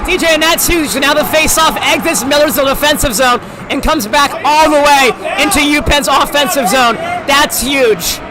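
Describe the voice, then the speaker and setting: Brief: male color commentator, very high pitch, insistent, arena crowd roaring behind. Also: normal speed. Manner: emphatic, repeats his points. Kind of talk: excited hockey color commentary.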